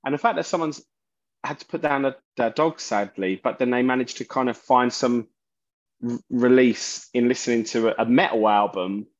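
A man, a musician guest in an interview, talking haltingly and often restarting his sentences. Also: mid-range voice. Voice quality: loud voice. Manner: halting, searching for words, many restarts. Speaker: musician guest, bassist and singer. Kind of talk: casual interview talk. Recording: dull lo-fi sound.

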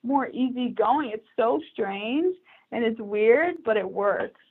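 A woman's voice: a little high, lively intonation, slow, husky. Chatty young woman on a tinny line. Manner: chatty, upbeat, laughs often. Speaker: recruiter, podcast guest. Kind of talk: casual interview chat.

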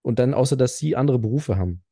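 A man's voice: mid-range voice, expressive, quick pace, warm tone, dry recording, studio quality. A man co-hosting a casual podcast, rambling and full of fillers as he tells stories. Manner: rambling, filler-heavy storytelling. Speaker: podcast co-host. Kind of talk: casual chat.

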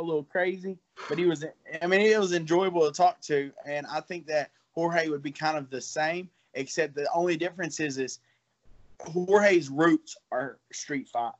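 A man's voice: a little high, dull audio. A man in his twenties, a fighter, talking casually in an interview in a loose, rambling way with some laughs. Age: 20s.

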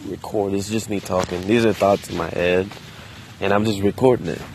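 Quiet low-voiced man talking outdoors. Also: fairly even intonation, normal speed, a little hiss or hum. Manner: quiet, subdued, hesitant with many restarts; loose and conversational with casual swearing. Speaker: vlogger. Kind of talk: casual solo monologue. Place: outdoors in a park.

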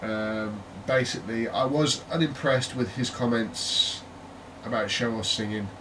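A man's voice: medium pitch, steady tone, measured pace. A man with a British accent co-hosting a casual tech podcast, chatty and jokey, often breaking into laughter. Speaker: podcast co-host. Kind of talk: casual chat. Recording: distant mic with faint noise.

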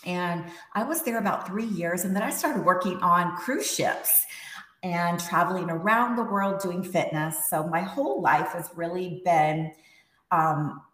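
A woman's voice: fairly low pitch, a little slow, fairly bright tone, roomy sound. A woman who grew up in Texas, talking as a podcast guest in a casual, upbeat way and laughing often. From USA, Texas.

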